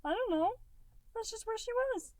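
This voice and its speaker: A woman doing a squeaky little goo boy. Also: animated, moderate pace, dark tone, dry recording, far from the mic. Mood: innocent. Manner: high, squeaky and whimpering. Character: small innocent boy made of goo, timid and sweet. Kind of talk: audiobook fan fiction reading.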